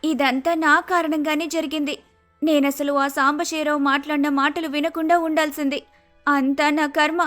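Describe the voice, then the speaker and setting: A woman voicing a distressed, self-blaming young wife in an animated moral story, speaking in an earnest, plaintive way. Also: sits high, normal speed, faint music behind. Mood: regretful.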